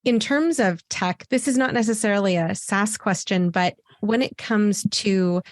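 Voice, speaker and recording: A woman's voice: medium pitch, a little slow, dry recording, studio quality. A woman podcast host who affirms her guest and asks long, multi-part questions. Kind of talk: interview questions.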